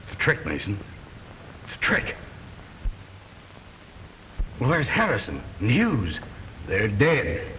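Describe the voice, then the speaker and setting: An actor playing a steady, matter-of-fact man in a 1940s radio drama, on a dull reverberant old recording. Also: on the low side, slow, pauses often, a little hiss or hum, full character voice. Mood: grave.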